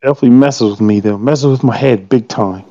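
A man's voice: mid-range voice, fast, mellow, dry recording. Joking middle-aged man, dull mic. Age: 40s. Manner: joking, swears casually. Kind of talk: casual chat.

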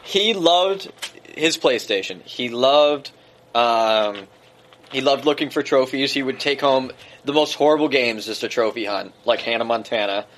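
A man's voice: mid-range voice, measured pace, fairly bright tone, a little hiss or hum. A man hosting a casual podcast, rambling and joking with friends, swearing freely. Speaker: podcast host.

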